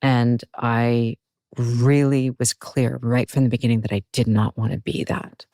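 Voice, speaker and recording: Deep-voiced woman, dry close sound. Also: steady tone, measured pace, dark tone, no echo. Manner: reflective, laughs often. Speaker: guest actor. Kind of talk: casual interview chat.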